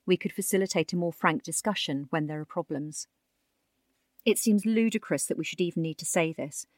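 A woman with a low-pitched voice reads a long-form magazine article as its narrator. The delivery is scripted narration, and the sound is close and dry. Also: dry recording. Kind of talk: scripted article narration, reading quotes aloud.

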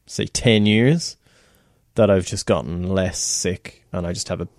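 A very deep-voiced man chats easily, backing up his partner and telling loose anecdotes. His voice sits close and dry on the mic, with no echo. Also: unhurried, a little mellow. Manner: agreeable, chatty, frequent backchannel. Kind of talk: casual chat.

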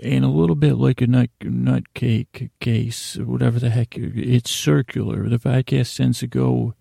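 Male sleep podcast host: dark, relaxed tone, dry close sound, meandering and stumbling delivery, gently comedic rambling. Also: mid-range voice, vocal fry, husky, no echo. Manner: halting, meandering, stumbles over words, circles back. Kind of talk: rambling, comedic bedtime monologue.

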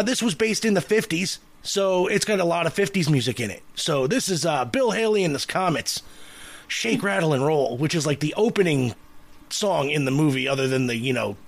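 Male podcast host, voice on the higher side, casual and rambling, halting delivery. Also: gravelly, no room sound. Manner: halting, repetitive, chatty.

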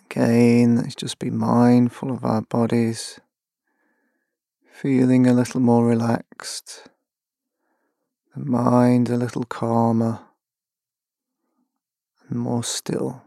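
A man leading a guided mindfulness meditation, giving gentle, measured instructions with long pauses. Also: mid-range voice, monotone, gravelly, dry recording, studio quality.

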